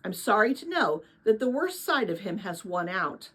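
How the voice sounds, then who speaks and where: A woman's voice: medium pitch, big pitch swings, moderate pace, a bit bright, slightly rough. A woman voicing a kindly, thoughtful adult woman in a storybook, earnest and reflective, with sadness and hope. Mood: sad, solemn.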